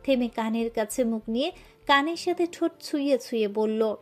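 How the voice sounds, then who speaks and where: A woman storyteller narrating a scripted romance. Her voice is mellow and slightly high, and her reading is expressive and emotive. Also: normal speed, faint music behind.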